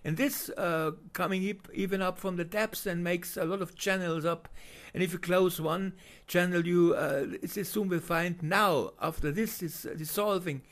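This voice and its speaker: A man with a German accent giving unscripted voice-over commentary on video footage, halting with many fillers and restarts. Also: sits high, fairly even intonation, fairly bright tone.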